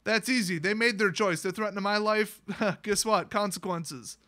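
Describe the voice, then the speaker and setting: A man's voice: high voice, normal speed, pushed and bright. An American man telling stories in a casual, ranting style with a sarcastic, exasperated manner. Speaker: YouTube storyteller and gamer.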